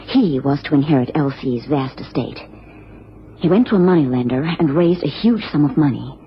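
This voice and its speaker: A woman's voice: low-pitched, animated, moderate pace. A woman playing an anxious, genteel American wife in a radio drama, speaking formally and earnestly with careful phrasing. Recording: dull, noisy old radio recording.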